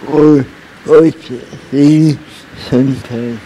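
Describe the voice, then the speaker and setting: Very slow, halting man. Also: fairly high pitch, fairly even intonation, dark tone, slight background noise, rough recording. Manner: halting, repeats words. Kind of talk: group discussion.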